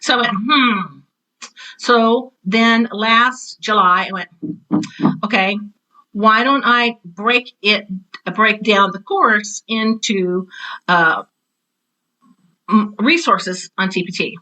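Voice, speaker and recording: A middle-aged woman chatting casually and enthusiastically as an interview guest, telling stories in an animated way and acting out what people said. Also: medium pitch, takes it slowly, long pauses, low-quality recording. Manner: animated storyteller, acts out quoted remarks. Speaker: interview guest, drama teacher and author.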